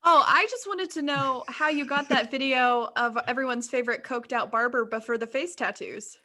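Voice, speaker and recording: A woman's voice: high voice, normal speed, sharp tone. A woman on a podcast panel, chatting casually and teasing the others.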